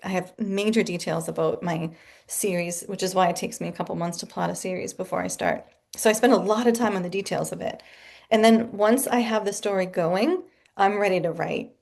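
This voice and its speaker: A woman speaking as a guest author in a casual interview from her home office, chatty and animated and laughing often. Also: on the low side, gravelly.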